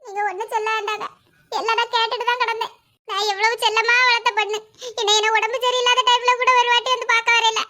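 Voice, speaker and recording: A woman's voice: very high-pitched, level tone, fast talker, sharp tone. A woman playing a domineering, scheming middle-aged stepmother in a family melodrama, with a Kanyakumari Tamil accent. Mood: hurt.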